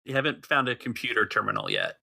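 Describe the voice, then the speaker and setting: Gravelly-voiced man running the game. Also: medium pitch, wildly swooping pitch, fast talker, bright, forceful tone, no room sound, clean studio sound. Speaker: game master. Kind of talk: narrating a tabletop game.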